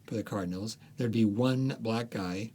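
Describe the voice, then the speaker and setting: A man, an author, talking through his ideas in a casual interview, thoughtful and halting, with frequent restarts. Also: on the low side, a little mellow, husky.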